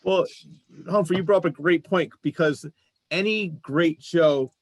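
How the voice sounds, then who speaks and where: Higher-pitched male talk show host. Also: a little slow, no room sound. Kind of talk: casual interview chat.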